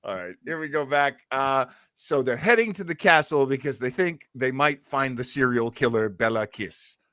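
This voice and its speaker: A man's voice: mid-range voice, lively intonation, moderate pace, pushed and bright, no echo, clean studio sound. A man hosting a podcast, chatting casually and commenting on old radio shows. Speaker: podcast host.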